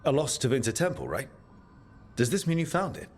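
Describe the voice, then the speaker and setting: An actor playing a wry, supportive adventurer and leader in a video game, with a casual delivery. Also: male voice, medium pitch, sing-song, a little fast, breathy edge. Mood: supportive, focused.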